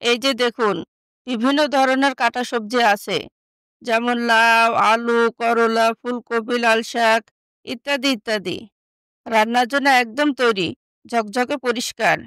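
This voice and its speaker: A woman's voice: on the high side, fairly even intonation, measured pace, a bit bright, clear, resonant tone, no echo. A woman playing a humble, sincere young stepsister who sells vegetables in a moral story. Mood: cheerful selling. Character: Ayesha, humble neglected young stepsister turned vegetable seller.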